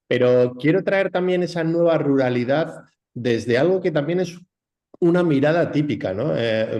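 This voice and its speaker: Spanish man moderating, mid-pitched voice. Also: a little mellow. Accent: Spain. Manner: tentative, discursive, frequent tag questions. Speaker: round-table moderator. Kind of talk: conversational panel moderation.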